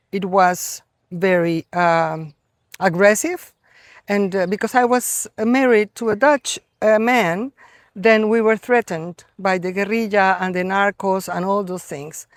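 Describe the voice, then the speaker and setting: A middle-aged woman from Colombia with a Colombian Spanish accent, giving an earnest, reflective spiritual talk to a gathering, with many pauses and fillers. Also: mid-range voice, dry recording, clean studio sound. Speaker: gathering organizer.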